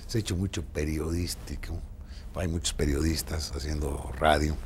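Deep gravelly older Mexican man. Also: steady tone, normal speed, a little hiss or hum. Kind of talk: documentary interview commentary.

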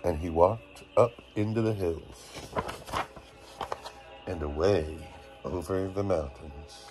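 Deep-voiced dad reading a bedtime story. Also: male voice, slow, over music, poor audio. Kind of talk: expressive storybook read-aloud. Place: small dim bedroom, lying down beside children. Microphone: phone at arm's length.

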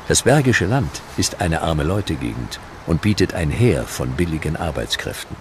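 Very deep-voiced documentary narrator, male. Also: sing-song, normal speed, over music.

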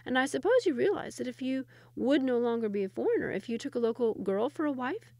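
A woman's voice: sits high, animated, fast, warm tone, no room sound, clean studio sound, voice pushed up. A woman voicing a sly young shepherd boy who explains the local laws knowingly.

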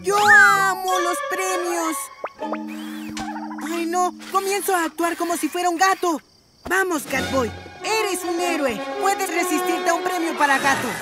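A woman's voice: very high-pitched, unhurried. A woman playing a confident, earnest young boy cat superhero in a Latin American Spanish cartoon dub. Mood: tempted, self-assured. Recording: loud music behind.

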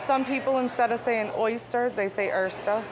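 A woman's voice: fairly high pitch, moderate pace, music in the background, rough recording. A woman with a St. Bernard Parish Yat accent, talking casually and plainly in an interview. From St. Bernard Parish, Louisiana.

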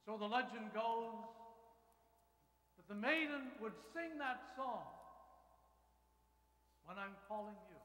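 A man's voice: high-pitched, bright, forceful tone. An older man preaching a sermon to his congregation in a large church, telling a story with wry asides and acting out the voices he quotes. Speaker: pastor. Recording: echoey church with long reverb.

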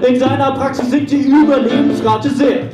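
A young male emcee raps and half-sings a rhymed show introduction in a high voice. Loud live guitar music plays behind him, and the small stage is echoey. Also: fairly even intonation, normal speed, recorded from a distance. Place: small dark theatre stage. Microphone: handheld microphone. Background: live guitar and keyboard music.

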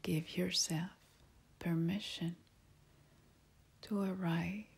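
A woman leading a guided meditation as an instructor, speaking in a soft, half-whispered, breathy voice, calm and soothing with long pauses. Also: on the low side. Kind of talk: slow guided meditation narration.

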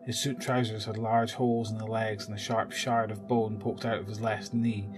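Male narrator for an audio drama, low voice, music clearly behind him. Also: little pitch movement, moderate pace.

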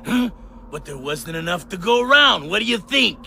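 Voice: medium pitch, very animated, moderate pace, creaky, very noisy. An actor voicing a mischievous, bullying bird thief in a dubbed cartoon, with a brash, taunting manner. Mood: smug bullying. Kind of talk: English-dubbed cartoon acting. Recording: loud music under the voice.

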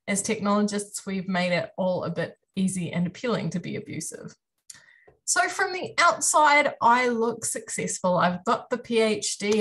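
Kiwi lady lecturer on a video call, chatty and self-deprecating, talking to students from home. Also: medium pitch. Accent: New Zealand. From New Zealand. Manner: friendly, lively, self-deprecating. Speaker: university lecturer giving a career talk. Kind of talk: online presentation to students. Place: home room. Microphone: webcam on a video call.

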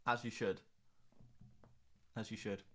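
A man's voice: lowish voice, little pitch movement, takes it slowly, fairly bright tone. A young man hosting a livestream, chatting casually and reading out viewer comments in a playful, rambling way. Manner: playful, rambling, lots of restarts and laughs. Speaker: livestream host.

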